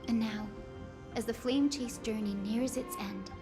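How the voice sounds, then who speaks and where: A young heroine of a sky people in a fantasy game. She is gentle and hopeful, with a fairly high voice and an earnest, tender delivery. Music plays clearly behind her. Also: fairly even intonation, a little slow. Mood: resolute, hopeful. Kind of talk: dramatic video game voice acting. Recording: music under the voice.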